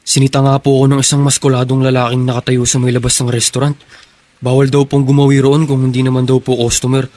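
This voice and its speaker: A man's voice: medium pitch, monotone, fast, a little mellow, close to an everyday voice. A man playing a respectful, dutiful young son in a Filipino radio drama. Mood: earnest, worried.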